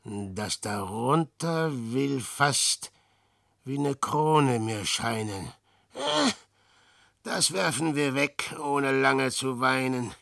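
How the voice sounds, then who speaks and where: A weary old adventurer in a verse radio play, speaking very slowly with a creaky edge. He broods and mutters theatrically, mocking himself. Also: medium pitch, expressive, a bit bright, no room sound, clean studio sound, heavily acted. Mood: wry, musing. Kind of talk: verse monologue in 1960s German radio drama.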